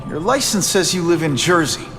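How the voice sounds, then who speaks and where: A man's voice: fairly high pitch, animated, fairly quick, fairly bright tone, a little hiss or hum. A young actor playing a principled young male superhero in a video game, earnest and direct. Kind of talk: video game cutscene acting. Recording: game audio with music. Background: music.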